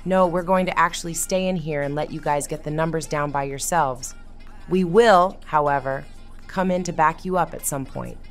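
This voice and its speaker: A woman playing a confident adult monster fighter who mentors kids with calm command in a cartoon comic dub. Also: low voice, normal speed, faint music behind.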